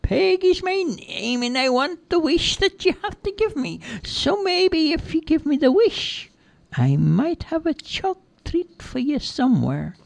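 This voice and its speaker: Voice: sits high, very animated, measured pace, vocal fry, no room sound, pitched above the performer's own voice, full character voice. A storyteller voicing a bold, bargaining girl who catches fairies, in an insistent sing-song manner.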